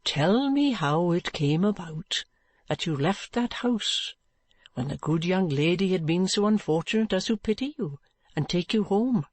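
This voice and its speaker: A woman voicing a kind, patient young man who coaxes gently. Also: on the low side, lively intonation, unhurried, no echo. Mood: coaxing. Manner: gentle coaxing tone.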